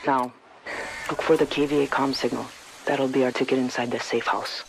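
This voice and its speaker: A man's voice: medium pitch, little pitch movement, normal speed, gravelly, slight background noise, close to an everyday voice. A man acting a focused military spotter, giving terse instructions during a mission in a shooter game. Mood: focused, controlled. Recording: loud game music over the voice.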